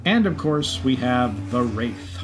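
A man's voice: medium pitch, very animated, takes it slowly, soft background music. A man co-hosting a podcast with scripted narration. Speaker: podcast co-host. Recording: faint background ambience noise. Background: racetrack event ambience.

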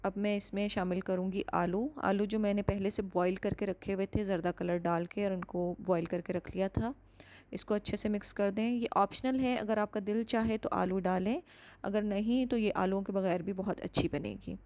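Female cooking host, mid-pitched, calm and measured, giving clear recipe instructions. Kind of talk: step-by-step recipe voice-over.

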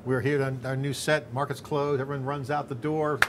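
A man's voice: mid-range voice, level tone, fairly quick, slight background noise. Rambling middle-aged male interview host. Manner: loose, rambling, thinks aloud with restarts. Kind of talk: conversational tech interview. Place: studio set on a stock exchange floor. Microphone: lapel mic. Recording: clear background ambience.

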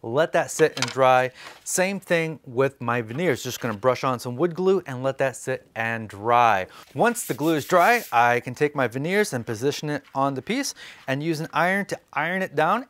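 A man's voice: mid-range voice, no room sound. A man in his forties with an American accent, hosting a woodworking tutorial in a casual, conversational way. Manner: informal, conversational. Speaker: woodworking host. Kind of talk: casual how-to tutorial narration. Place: indoor workshop studio.

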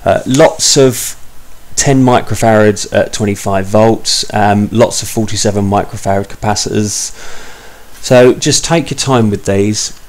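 A man's voice: lowish voice, darkish tone. A man in his thirties giving an informal, step-by-step repair commentary as a hobbyist, explaining things a little hesitantly.

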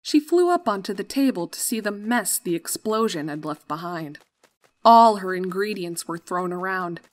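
A woman's voice: medium pitch, lively intonation, moderate pace. A woman reading scripted fan-fiction story narration as the narrator. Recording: music under the voice.

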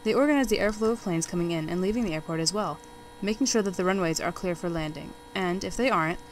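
A woman's voice: on the low side, quick pace, slight background noise, low-quality recording. A young woman, a student narrator, reading a formal documentary script. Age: young adult. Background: music bed.

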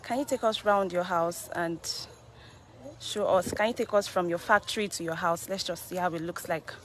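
Brisk woman reporter. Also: fairly low pitch, fairly even intonation, fairly bright tone, slight background noise. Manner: brisk, prompting, many okays. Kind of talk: live news interview and walkthrough. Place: outdoor compound, then indoor rooms.

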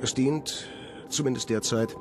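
A man's voice: mid-range voice, fairly even intonation, a little slow, music in the background, lightly acted. An actor playing a formal male scientist dictating a research protocol in a German radio drama. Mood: formal, detached.

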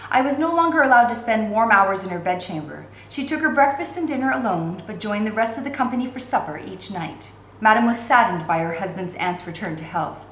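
A woman in her forties reading a novel aloud as an expressive narrator. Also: medium pitch, fairly quick, few pauses. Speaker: reader of a novel for learners. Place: indoor room at home. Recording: roomy echo with faint background noise.

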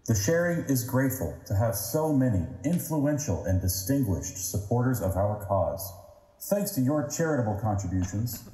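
Guy playing a polished event emcee, soft warm tone, formal and reverent, lots of hall reverb, audio drama. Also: medium pitch, very animated, normal speed, close to an everyday voice. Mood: ceremonious. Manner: ceremonious, stately. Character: polished, reverent male gala master of ceremonies. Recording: echoey hall with long reverb.

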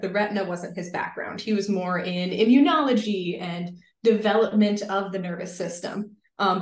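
A young woman in her twenties, a graduate student guest, answering interview questions in an earnest, lively way with many fillers over a video call. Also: medium pitch. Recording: roomy video call audio.

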